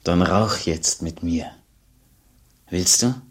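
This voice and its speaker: An older man acting a tired, kindly former robber in a radio play, plain-spoken and husky. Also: sits low, slow, heavily acted. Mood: nostalgic.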